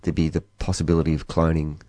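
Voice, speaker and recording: A man's voice: rumbling low, flat delivery, fast talker, dark tone, no room sound. An Australian man co-hosting a radio show, asking questions with stumbling restarts. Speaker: radio co-host.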